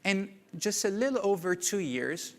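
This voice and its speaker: A man's voice: high-pitched, expressive, moderate pace. Moroccan-born man giving a keynote. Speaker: conference speaker. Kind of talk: prepared keynote talk. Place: conference hall. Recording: reverberant conference hall.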